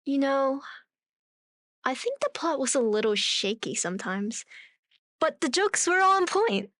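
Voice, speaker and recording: A woman playing a gentle, effeminate young actor in a radio play, playful and mock-deferential. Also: sits high, very animated, measured pace, no echo, clean studio sound, full character voice. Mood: teasing. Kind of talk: naturalistic radio play acting.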